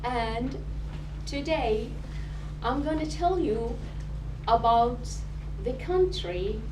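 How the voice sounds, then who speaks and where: A woman from Iraq giving a prepared speech to a club audience, speaking slowly and deliberately with pauses between phrases. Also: on the high side, expressive, background noise. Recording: voice from a distance.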